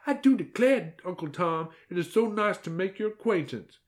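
Man putting on a high, girlish wolf in disguise. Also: lively intonation, moderate pace. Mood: gushing. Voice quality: exaggeratedly high-pitched, feminine. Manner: gushing, overly polite. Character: big bad wolf faking a prim, feminine Southern lady.

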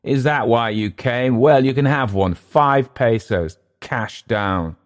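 A man voicing a bitter, dissolute Englishman with an English accent, sneering and halting by turns. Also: mid-range voice, voice pushed up, natural voice. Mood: surly.

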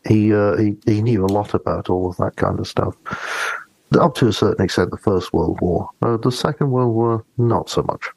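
A man co-hosting a podcast, talking casually about books and telling personal anecdotes in a hesitant, reflective, halting way. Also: fairly low pitch, vocal fry, a little mellow, breathy edge, no echo, rough recording. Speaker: podcast co-host.

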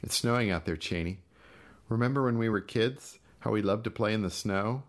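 A man's voice: fairly low pitch, normal speed, voice pushed up, lightly acted. A man voicing a vengeful, sadistic storybook character who taunts his helpless brother with mocking, menacing questions. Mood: taunting, bitter. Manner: mocking, menacing, sing-song rhetorical questions.

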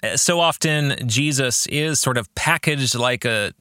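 A middle-aged man hosts a reflective podcast monologue on his own, speaking earnestly and deliberately and stretching phrases for emphasis. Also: medium pitch, bright, forceful tone, clear, resonant tone, no echo, studio quality. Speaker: podcast host and author. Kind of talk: reflective monologue about faith and fiction.

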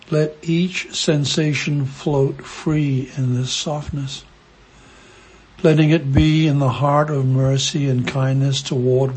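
Guy doing guided meditation, really slow n gentle, very breathy husky voice, lots of long pauses. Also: medium pitch, poor audio. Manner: gentle, hushed delivery with long pauses between short phrases.

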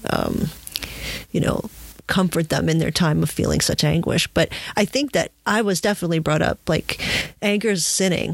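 A woman with a slightly low voice chats as an interview host, feeling her way through each thought. The sound is close and dry, with very little room to it. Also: gravelly, no room sound. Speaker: podcast host. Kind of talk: conversational interview talk.

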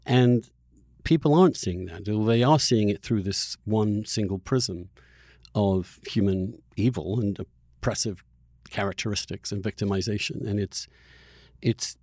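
A man hosting a conversational podcast, speaking emphatically and haltingly, often repeating words as he builds a point. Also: lowish voice, darkish tone, no echo, studio quality. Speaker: podcast host, journalist.

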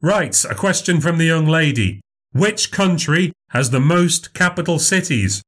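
A man's voice: fairly high pitch, expressive, a little slow, fairly bright tone, pitched above the performer's own voice. A man voicing a formal, eager memory showman who keeps calling people sir and madam. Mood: showy, polite. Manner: showy, overly polite, says "sir" and "madam".

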